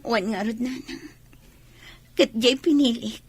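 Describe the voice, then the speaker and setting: A woman's voice: on the high side, slow, breathy edge, no room sound. An actress playing an ambitious, regretful young pregnant village woman in an Ilocano radio drama. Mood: downcast.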